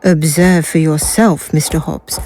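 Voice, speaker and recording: An actress playing a cold, cruel doctor in an audio drama, composed, clinical and smug. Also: female voice, low-pitched, fairly even intonation, unhurried, mellow, soft background music. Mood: smug cruelty. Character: cold, cruel woman doctor serving the villain.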